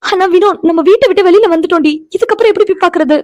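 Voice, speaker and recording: A woman's voice: extremely high pitch, racing, a little mellow. A woman playing an anxious, caring young woman in an emotional audio drama, pleading and fussing earnestly. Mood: concerned, soothing.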